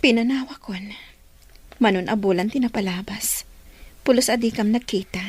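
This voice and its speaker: A woman acting a gentle young student and single mother in an Ilocano radio drama, with an Ilocano accent. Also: on the high side, moderate pace, husky, no echo. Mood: subdued.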